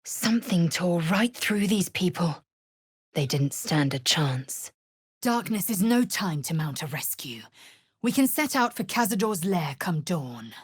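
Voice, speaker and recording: An actress voicing a fierce, haughty young alien warrior woman in a video game, husky and breathy, with an imperious, clipped, formal manner. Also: on the low side, very animated, unhurried, no echo, studio quality, full character voice. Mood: cool, measured.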